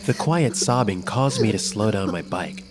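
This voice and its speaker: A man's voice: lowish voice, lively intonation, normal speed, heavy background noise, lightly acted. A man playing an adventurous, kind child who narrates a scripted children's story expressively. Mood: wondering, narrating. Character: adventurous, imaginative, kind child telling a Halloween tale. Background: music.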